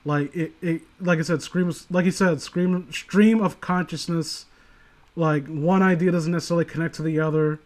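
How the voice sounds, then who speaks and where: Higher-voiced man rambling through casual commentary. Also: steady tone. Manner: rambling, halting, profane. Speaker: host of a story reading stream. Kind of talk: casual critical chat on a livestream.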